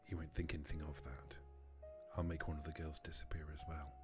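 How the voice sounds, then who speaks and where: A man voicing a grim, secretive vampire informant in a hushed, terse manner for a tabletop game. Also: extremely low pitch, little pitch movement, fairly quick, music in the background, pitched below the performer's own voice. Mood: quietly conspiratorial.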